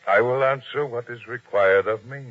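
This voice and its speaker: An actor playing a humble, anxious but principled innkeeper in old-time radio drama style, earnest and formal. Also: male voice, mid-range voice, moderate pace, no room sound, lightly acted. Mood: guarded. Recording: dull, lo-fi old radio sound.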